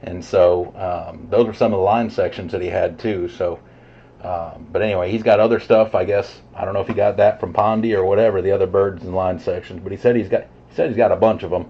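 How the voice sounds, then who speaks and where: Low-voiced man on muffled CB radio. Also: steady tone, a little fast, slightly rough. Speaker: CB radio operator. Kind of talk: casual radio chat. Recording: dull, muffled CB radio signal.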